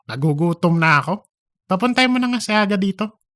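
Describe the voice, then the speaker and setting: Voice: mid-range voice, animated, a little fast, fairly bright tone, no echo, clean studio sound, lightly acted. A performer acting out chatty office colleagues in a comedic audio drama, with an exaggerated, teasing conversational manner. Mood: smug.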